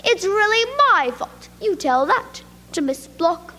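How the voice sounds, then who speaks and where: A woman's voice: very high voice, expressive, slow, sharp tone, long reverb tail, a little hiss or hum, full character voice. A woman voicing a newly hatched bird who thanks a boy politely and cheerfully. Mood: grateful.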